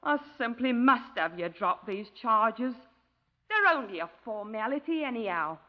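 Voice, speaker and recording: A woman playing a naive, infatuated young wife in a 1950s radio drama, breathless, effusive and over-excited. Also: on the high side, a little slow. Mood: gushing, fluttery. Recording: dull, lo-fi 1950s radio recording.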